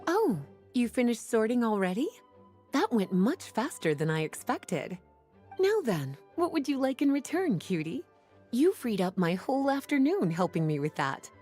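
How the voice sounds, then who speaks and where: Mellow, breathy, flirtatious game librarian. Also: female voice, on the high side, big pitch swings, soft background music. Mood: teasing, friendly. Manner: teasing, unhurried, composed. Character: languid, flirtatious, shrewd adult woman librarian. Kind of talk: video game voice acting.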